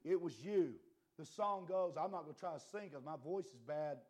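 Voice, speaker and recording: Impassioned preacher man, slightly hoarse. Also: on the high side, moderate pace. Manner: impassioned, swinging between quiet and loud. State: losing his voice a little. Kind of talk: fiery sermon.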